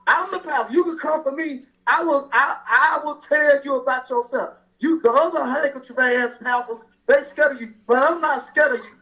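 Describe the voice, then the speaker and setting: A pastor from Alabama, calling in to a radio show and angrily threatening the host. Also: male voice, very high-pitched, normal speed, few pauses, sharp tone. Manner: angry, threatening, repetitive. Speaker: caller, pastor. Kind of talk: angry phone call to a radio show. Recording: dull lo-fi phone-in sound.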